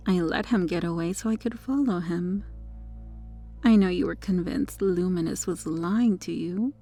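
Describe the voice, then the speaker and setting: Woman acting smug, creaky-voiced possessive superheroine. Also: medium pitch, dark tone. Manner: teasing, condescending, seductive. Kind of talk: ASMR roleplay monologue addressed to the listener.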